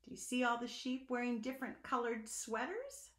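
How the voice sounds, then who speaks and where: A woman reading a picture book aloud to young children, expressive and sing-song, talking to them directly. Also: a little high, normal speed. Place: indoor room at home. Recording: echoey room.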